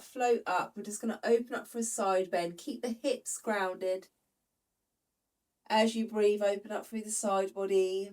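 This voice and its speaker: A woman leads a slow Pilates class in a gentle, steady voice, her nose a little stuffed up from hay fever. Her voice is picked up from some distance from the mic. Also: medium pitch. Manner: calm, gentle cueing. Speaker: Pilates instructor. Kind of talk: guided follow-along workout instruction.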